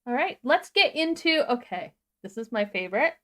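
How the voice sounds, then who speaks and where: A woman's voice: high-pitched, sing-song, normal speed, pushed and bright. A woman co-hosting a livestream tutorial, talking in an enthusiastic and informal way as she explains things. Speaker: livestream co-host. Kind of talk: casual software tutorial chat.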